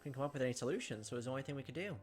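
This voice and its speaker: A man's voice: medium pitch, expressive, very fast. Man reading a visual novel over music. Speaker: gaming video host. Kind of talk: visual novel read-aloud with casual commentary. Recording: music behind the voice. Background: game music.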